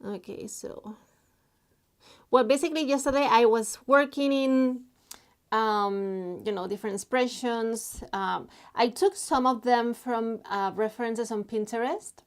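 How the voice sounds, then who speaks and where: Slow-talking woman from Spain. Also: on the high side. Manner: halting, many fillers, reads chat messages aloud. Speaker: artist live streaming while drawing. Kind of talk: casual live stream chat.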